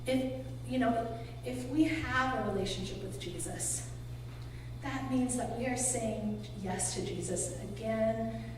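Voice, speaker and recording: A woman's voice: on the high side, unhurried, noisy background. A woman pastor giving a sermon in a church, preaching in a conversational way with casual asides. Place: church sanctuary. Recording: echoey church hall from far off, humming.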